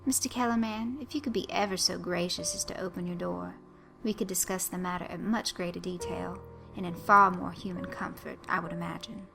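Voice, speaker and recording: A woman voicing a short, cunning male Southern dandy with a Southern accent and a flowery, drawn-out way of stressing his words. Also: fairly low pitch, steady tone, gravelly, over music. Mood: genteel, menacing. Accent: American Southern. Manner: ornate, drawn-out emphasis.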